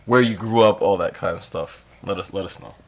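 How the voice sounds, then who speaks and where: A man's voice: low voice, brisk. A man hosting a podcast, talking in a laid-back, casual way with lots of fillers and easy chuckles. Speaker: podcast host. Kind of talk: casual interview chat.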